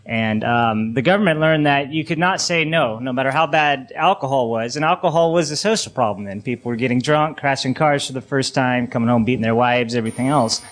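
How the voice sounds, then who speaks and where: Male panelist, mid-range voice, informal and opinionated, explains haltingly. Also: medium pitch. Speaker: panel speaker on a radio talk show.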